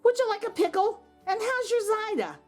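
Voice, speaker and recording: A woman's voice: extremely high pitch, lively intonation, moderate pace, faint music behind, pitched above the performer's own voice. A woman voicing a friendly, motherly bakery shopkeeper in a children's storybook, chirpy and sing-song.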